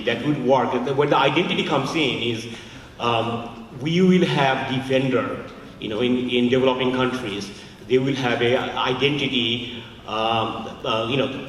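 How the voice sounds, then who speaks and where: A man from Bangladesh giving a halting conference talk with many fillers, as a fund founder. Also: medium pitch, fairly bright tone, a little hiss or hum. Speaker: fund founder, panel speaker. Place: large conference hall. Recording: echoey hall from far off.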